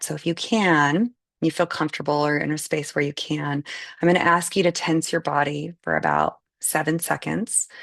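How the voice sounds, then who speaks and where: Low, gravelly-voiced woman guiding meditation. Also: no room sound. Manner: slow, soothing, gentle instructions. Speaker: meditation guide. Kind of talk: live guided meditation.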